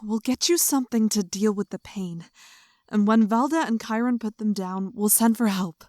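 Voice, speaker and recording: A woman's voice: medium pitch, moderate pace, darkish tone, dry recording, studio quality, lightly acted. A woman playing a young princess in an audio drama, caring, earnest and steadfast. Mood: reassuring. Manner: earnest, reassuring.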